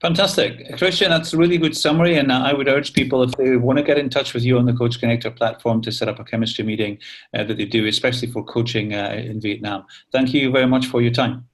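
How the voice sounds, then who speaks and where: A man's voice: mid-range voice, fairly even intonation, fast talker. Polite male interviewer. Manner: brief, polite questions. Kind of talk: interview questions.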